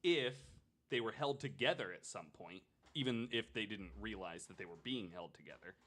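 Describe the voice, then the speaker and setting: A man's voice: lowish voice, lively intonation, moderate pace, fairly bright tone. A man on a podcast panel chatting casually and thinking aloud, informal and a bit wry. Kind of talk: casual chat, speculating aloud.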